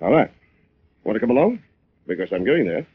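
An actor playing a stubborn, emphatic police commissioner in 1940s style radio drama. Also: male voice, lowish voice, very animated, a little fast. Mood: determined. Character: blunt, stubborn police commissioner, sure of his theory. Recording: muffled, hissy old radio recording.

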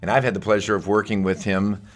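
A man's voice: lowish voice, steady tone, fast talker. A middle-aged man hosting a podcast interview, talking in a friendly, teasing way. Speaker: podcast host.

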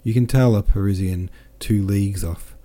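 A man's voice: on the low side, moderate pace, warm tone, lightly acted. A man voicing a jovial, boastful French army captain who chatters in exclamations.

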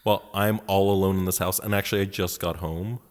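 A man's voice: sits low, fairly even intonation, a little fast, long reverb tail. A man from Poland, with Polish as first language, co-hosting a podcast in campy, joking casual chat from his home. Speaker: podcast co-host. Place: house in Poland.

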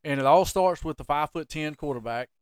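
A man hosts a casual sports podcast, animated and joking as he grills his co-host. His voice sits a little high and sounds smooth and clear. The recording is dry and close. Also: brisk, no room sound. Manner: animated, jokey, plays the interviewer.

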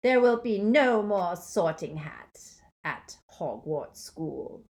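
A woman reading aloud and voicing a cold, gloating male dark wizard with a soft, silky, hissing menace. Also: on the low side, big pitch swings, takes it slowly, exaggerated voice. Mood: cold, menacing.

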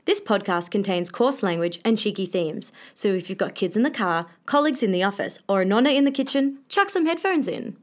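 A woman's voice: mid-range voice, lively intonation, fairly quick, few pauses. A woman with an Australian accent, co-hosting a casual podcast and chatting informally. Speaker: podcast co-host.

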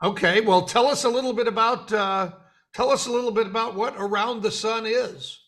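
A man's voice: high voice, normal speed, a bit bright. A man hosting a podcast, presenting humorous news bits and interviews. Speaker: podcast host.

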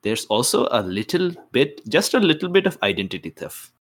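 A man's voice: medium pitch, very animated, brisk, fairly bright tone. A man playing a cheeky, talkative young sword-school student who speaks politely to elders in a tabletop game. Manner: chatty, deferential yet cheeky.

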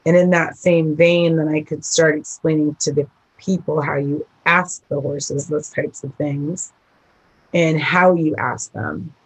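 A woman from the Midwest with a rough voice, chatting casually as a guest about teaching horse riding, rambling and laughing often. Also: deep voice, steady tone, unhurried, rough recording. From American Midwest. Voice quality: rough, husky voice. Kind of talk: casual interview talk.

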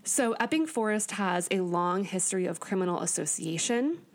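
A woman co-hosting a chatty podcast, reading her notes aloud. She talks at a middle pitch, earnest and plain, with small stumbles and quick asides. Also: animated. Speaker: podcast co-host. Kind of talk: reading research notes in casual chat.

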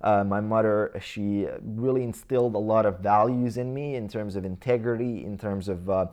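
A man's voice: on the low side, steady tone, moderate pace, darkish tone. Croatian-accented man telling his life story. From Croatia/Bosnia region. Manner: rambling, many fillers and restarts, reflective. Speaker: interview guest. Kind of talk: casual life-story interview.

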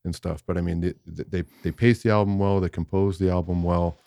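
Very deep-voiced man in his thirties. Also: steady tone, brisk, creaky, warm tone, no room sound. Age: 30s. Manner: rambling, self-deprecating, many fillers. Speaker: podcast co-host. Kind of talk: casual chat.

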